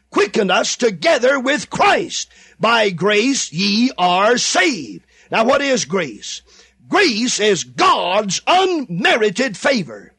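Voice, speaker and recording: Fiery male radio preacher, high-pitched and animated, hammering phrases with repetition. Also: husky, dry recording, studio quality. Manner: impassioned, rising to shouts then dropping low; drawn-out emphatic repetition. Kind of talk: fervent radio sermon.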